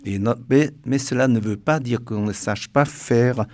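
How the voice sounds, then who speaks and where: A man from China, speaking French with a Chinese accent, a guest author in a radio interview who talks haltingly with many restarts. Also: medium pitch, darkish tone.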